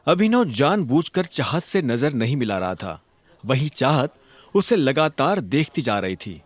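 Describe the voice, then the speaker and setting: A man's voice: mid-range voice, big pitch swings, a little slow, no echo. A man narrating a scripted audio drama as a dramatic storyteller.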